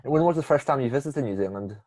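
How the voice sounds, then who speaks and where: A man's voice: medium pitch, animated, rapid-fire, a little mellow. A man hosting a podcast interview, asking plain questions and giving brief encouraging backchannels. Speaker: podcast host.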